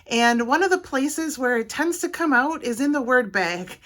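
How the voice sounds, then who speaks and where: A woman's voice: sits high, expressive, moderate pace, a bit bright. Plainspoken woman with a Wisconsin accent. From Wisconsin, United States. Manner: practical, plainspoken, lists points in order. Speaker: travel vlogger. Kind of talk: casual advice talk to camera.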